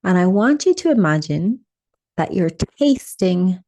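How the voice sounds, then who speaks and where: A woman leading a guided meditation, speaking in a soothing, unhurried way with gentle instructions and pauses. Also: on the low side, very animated.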